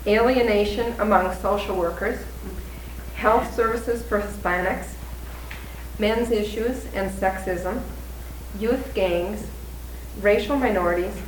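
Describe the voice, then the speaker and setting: A woman introducing a guest speaker at a service, measured and with frequent ums. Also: medium pitch, fairly bright tone. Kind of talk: reading a prepared introduction. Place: congregation hall. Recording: echoey room, distant mic, faint noise.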